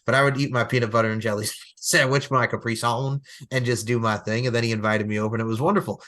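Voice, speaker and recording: A quick-talking man in his thirties with a drawl, chatting casually as a podcast co-host. His talk is animated and full of repeated filler phrases, at a middle pitch. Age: 30s. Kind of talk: casual chat.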